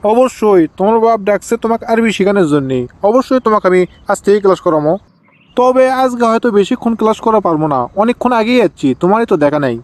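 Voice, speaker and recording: A man's voice: sits high, expressive, fast, no room sound. A man voicing a pious, fatherly village Quran teacher who corrects his pupil, in comic cartoon dialogue with a Bogura accent from northern Bangladesh. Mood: instructive. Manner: instructive, correcting.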